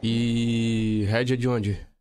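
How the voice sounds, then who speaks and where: A man's voice: mid-range voice, level tone, extremely slow, darkish tone, smooth. A young man with a Bahian Brazilian accent, chatting casually and swearing freely with friends while streaming a game on a headset. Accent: Bahian Brazilian Portuguese. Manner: laid-back, swears freely, banters with friends. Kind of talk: casual gaming livestream chat.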